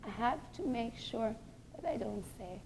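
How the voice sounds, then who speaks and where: A woman with a fairly high voice delivers a religious lecture as a teacher. She is earnest and didactic, putting questions to her listeners and leaning on key words for emphasis. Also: animated, measured pace, creaky, darkish tone, slightly rough. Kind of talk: religious lecture to a group of women.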